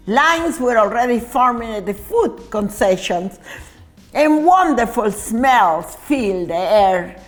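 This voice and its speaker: A woman reading a children's story aloud in an expressive, deliberate manner. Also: fairly high pitch.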